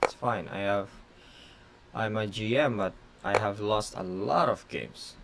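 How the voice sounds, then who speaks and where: A chess grandmaster whose first language is Tagalog, streaming blitz games and thinking aloud in short, halting phrases full of fillers. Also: male voice, fairly low pitch, vocal fry, noisy background. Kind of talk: casual live-stream commentary.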